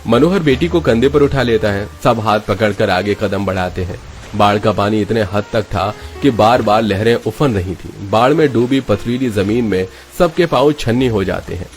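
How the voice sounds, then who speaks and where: A man's voice: fairly low pitch, normal speed, soft background music. A man narrating a scripted, dramatic moral story as its storyteller.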